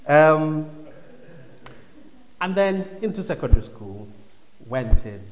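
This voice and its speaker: A man's voice: fairly high pitch, big pitch swings, takes it slowly. A man from Nigeria in his thirties shares his faith story before a congregation, talking in a reflective, conversational way. His voice rings through a big, echoey hall with a long reverb tail. A faint hum of the room sits underneath. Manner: reflective, conversational, drops to near whispers. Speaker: church speaker giving a testimony. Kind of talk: personal testimony to a congregation.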